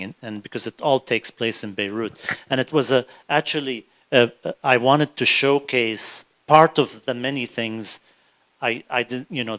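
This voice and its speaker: A man from South Lebanon, an author, talking in a halting way with many restarts before an audience in a room. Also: medium pitch, fairly bright tone. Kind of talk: conversational author talk at a book event.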